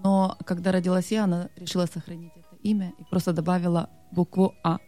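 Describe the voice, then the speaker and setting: A woman chef from Azerbaijan, raised in Russia, answering calmly as a radio studio guest. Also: lowish voice, fast, faint music behind.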